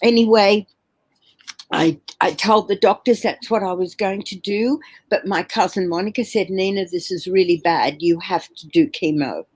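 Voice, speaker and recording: A woman in her seventies telling her personal story as an interview guest on a video call from home, earnest and halting with many fillers and restarts. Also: medium pitch, darkish tone, husky. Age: 70s. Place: home room.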